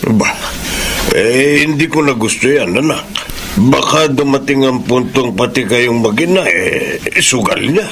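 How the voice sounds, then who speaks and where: Concerned older Filipino father, very animated. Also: medium pitch, measured pace. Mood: concerned, disapproving. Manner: probing, paternal, disapproving. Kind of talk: Filipino radio drama acting. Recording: clear background noise.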